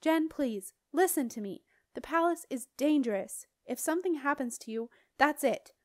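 A woman's voice: sits high, big pitch swings, measured pace, a little mellow, exaggerated voice. A woman voicing an earnest, protective, determined boy who speaks urgently with a raised voice in an audiobook. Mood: pleading. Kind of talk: audiobook character dialogue.

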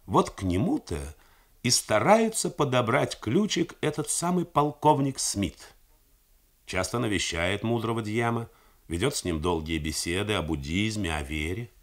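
A man's voice: lowish voice, animated, normal speed. A man voicing an earnest, eager young army major who pleads his case. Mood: persuasive.

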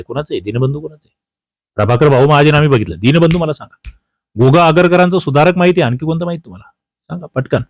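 A man's voice: mid-range voice, a little mellow, no echo. Man teaching, close dry sound. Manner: repeats key answers, prompts students with questions. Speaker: teacher of competitive exam history. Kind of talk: quiz lesson, reading out questions and explaining answers.

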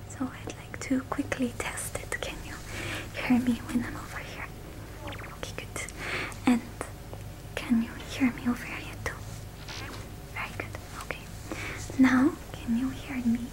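Young female ASMR performer; soft high whisper, unhurried, soothing and reassuring, as a caring repair technician. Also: little pitch movement, rough recording. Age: young adult. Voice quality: breathy, unvoiced whisper. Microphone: close-up sensitive ASMR microphone, speaking through a cloth mask.

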